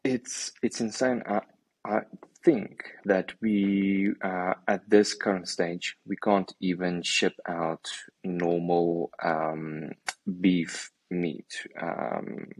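A man with a South African accent whose first language is Afrikaans, talking casually about hunting and pausing often with ums and uhs. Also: deep voice, steady tone, no echo. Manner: hesitant, many ums and uhs. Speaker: guest hunter. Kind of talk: casual conversation.

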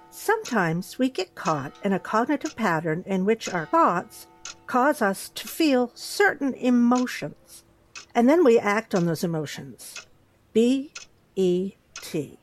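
Higher-voiced, calm female therapist. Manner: gentle, measured, professional. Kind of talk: horror audio drama acting.

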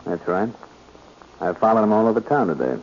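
An actor playing a cool, sly private detective in 1940s radio style, measured and insinuating. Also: male voice, lowish voice, animated. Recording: muffled, noisy old radio recording.

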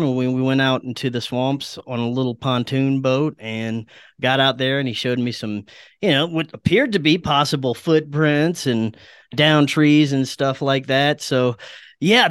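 Clear, smooth-voiced American man chatting casually. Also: mid-range voice, a bit bright, dry recording, clean studio sound. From Louisiana, United States. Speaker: podcast guest, documentary maker. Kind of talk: casual podcast chat.

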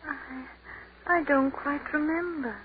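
A woman's voice: high voice, fairly even intonation, takes it slowly. An actress playing a dazed, wistful young woman in a 1940s radio horror drama, plaintive and wondering. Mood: dazed, tearful. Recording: tinny, noisy 1940s radio recording.

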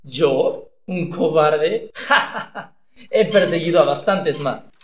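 Actor as cowardly, blustering husband, echoey room. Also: male voice, a little high, extremely slow, slight background noise. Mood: blustering, boastful. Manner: hesitant stammering, then blustering. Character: cowardly, boastful henpecked husband woken at night. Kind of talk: comedic radio play acting.

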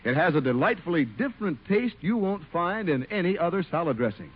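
Male vintage radio pitchman; polished, upbeat, high-pitched and expressive, muffled broadcast sound. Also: brisk, slightly rough. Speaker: announcer. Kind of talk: 1940s-50s radio advertising read.

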